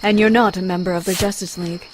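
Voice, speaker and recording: A woman playing a proud, blunt warrior with a dry, haughty, formal manner in an animated comic adventure. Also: on the low side, quick pace, noisy background, close to an everyday voice. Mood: haughty, probing.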